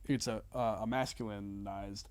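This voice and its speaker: A man chatting casually as a comedian guest on a podcast, talking in halting, hedging bursts with casual swearing and frequent check-ins with listeners. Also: fairly low pitch, steady tone, a little mellow, smooth.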